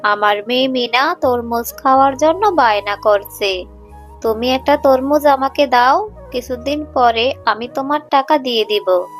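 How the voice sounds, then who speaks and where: A poor, gentle mother fox, voiced by a woman speaks slowly in a high voice. She sounds weary and pleading, in a children's cartoon with music underneath. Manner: pleading, sorrowful. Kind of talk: children's cartoon story dialogue. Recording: music behind the voice.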